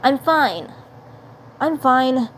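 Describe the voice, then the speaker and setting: Casting: proud, gruff cartoon alien schoolboy played by a woman, halting and awkward, fairly high voice, amateur recording with some noise. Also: animated, exaggerated voice. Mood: nonchalant. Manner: gruff, halting, feigned nonchalance. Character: proud, awkward male alien schoolboy hiding his pain.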